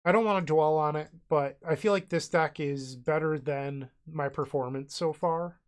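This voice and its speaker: A man's voice: a little high, moderate pace, clean tone. Congested, nasal man narrating his game. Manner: thinks aloud, narrating each move in short phrases. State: congested from a cold. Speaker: host playing a card game online. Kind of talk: casual gameplay commentary.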